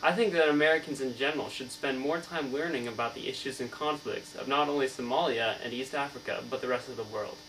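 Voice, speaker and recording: A man's voice: medium pitch, fast, few pauses, a bit bright, natural voice. Man playing an earnest novelist, distant humming recording. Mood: earnest, explanatory. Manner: formal, recited, stumbles over words. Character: earnest, learned Somali novelist being interviewed. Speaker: student playing an interviewed author. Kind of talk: scripted mock interview answers. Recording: distant mic, clear mains hum.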